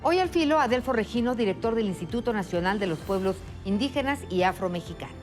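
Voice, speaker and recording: A woman's voice: medium pitch, animated, quick pace. Poised woman TV host, faint music. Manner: poised, articulate. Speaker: TV interview host. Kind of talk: scripted TV opening and interview questions.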